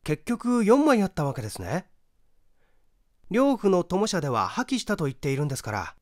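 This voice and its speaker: A man's voice: high-pitched, huge pitch swings, normal speed, a little mellow, dry recording, clean studio sound, voice pushed up. A man voicing an inquisitive, eager amateur detective with a questioning manner in a story reading. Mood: keenly interested.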